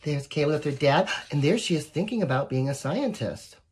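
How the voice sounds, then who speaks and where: A woman reading a picture book aloud to young children, expressive and encouraging, with asides to the listeners. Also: low voice, a little fast, slight background noise. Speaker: volunteer story reader.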